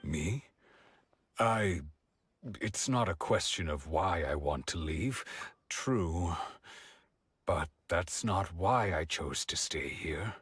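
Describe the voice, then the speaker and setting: A man's voice: low voice, lively intonation, takes it slowly, long pauses, fairly bright tone, breathy edge, dry recording, clean studio sound, heavily acted. A man playing a weary, forlorn older blind villager in a video game, speaking with hesitant resignation. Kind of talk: English video game voice acting.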